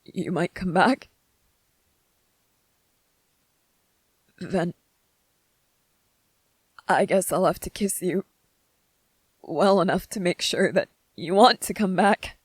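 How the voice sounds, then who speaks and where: A woman's voice: fairly low pitch, takes it slowly, pauses often, husky, dry recording. A woman playing a tender, anxious young man in an ASMR roleplay drama, stammering and repeating phrases as they speak to the listener. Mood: shy, tender.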